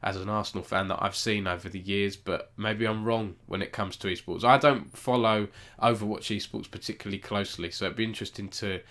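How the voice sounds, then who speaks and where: Casual male stream host, slightly low, nasal with a cold, chatty and rambling. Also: steady tone, brisk, few pauses, fairly bright tone. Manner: rambling, self-correcting, chats with viewers. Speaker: esports news host. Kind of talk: casual live-stream news roundup.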